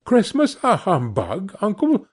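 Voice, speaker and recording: Man as a cheerful, lilting young gentleman nephew. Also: medium pitch, huge pitch swings, slow, creaky, slightly rough, heavily acted.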